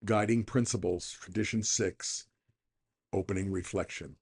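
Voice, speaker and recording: A man's voice: low voice, fairly even intonation, unhurried, breathy edge. A middle-aged or older man reading a scripted daily reflection for a recovery podcast.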